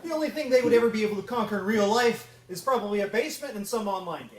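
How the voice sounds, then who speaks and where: A woman's voice: mid-range voice, animated, very fast, darkish tone. A woman giving a comedic panel presentation as a host, with a wry manner. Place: convention panel room. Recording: echoey room, distant mic, crowd behind.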